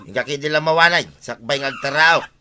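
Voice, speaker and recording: A man's voice: on the high side, fast, noisy background, natural voice. A man with an Ilocano accent acting a grumpy, easily irritated villager in a comedy drama. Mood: grumbling, defiant.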